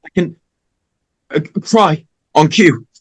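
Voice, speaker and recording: A man playing a brash, cocky high school boy in a script read. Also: slow pace, husky. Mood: eager, cocky. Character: brash, cocky male American high school party host. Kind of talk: informal script table read.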